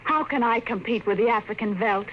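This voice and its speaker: A woman's voice: fairly high pitch, a little fast, lightly acted. A woman playing a frightened 1950s housewife. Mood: wistful. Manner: anxious, pleading. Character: frightened restless housewife and mother. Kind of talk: 1950s radio drama acting. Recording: dull old radio broadcast with faint hiss.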